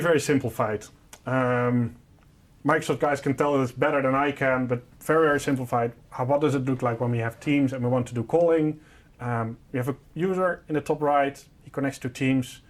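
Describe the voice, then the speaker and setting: A man's voice: mid-range voice, moderate pace. A man presenting a product, explaining a technical solution in an informal, step-by-step and simplified way.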